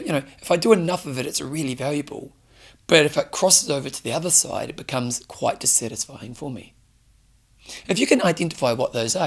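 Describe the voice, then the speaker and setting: Gravelly, hoarse New man hosting a fitness talk, earnest and direct with the listener. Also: mid-range voice. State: hoarse, losing his voice. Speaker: fitness show host. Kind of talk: solo motivational talk to camera.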